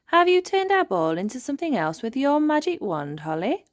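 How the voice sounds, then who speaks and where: Boy elf played by a woman, storybook. Young, eager, questioning, excitable, very animated. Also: high-pitched, normal speed, no room sound, studio quality, voice pushed up. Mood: curious.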